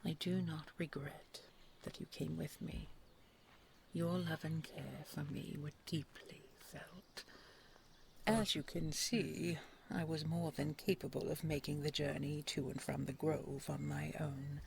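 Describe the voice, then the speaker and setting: A woman playing a tender, regal dragon queen in an intimate audio roleplay, soft and breathy, often dropping to a whisper, with gentle, halting endearments. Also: low-pitched, steady tone, background noise, exaggerated voice. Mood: weary, tender. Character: tender, regal female dragon queen consoling her beloved. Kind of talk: intimate audio roleplay, sleep-aid storytelling.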